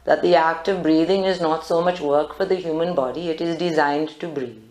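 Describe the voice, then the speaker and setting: A woman with a low-pitched voice leads a guided meditation. Her delivery is calm, deliberate and instructive. The live recording sounds very roomy. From South Africa. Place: indoor group meditation room.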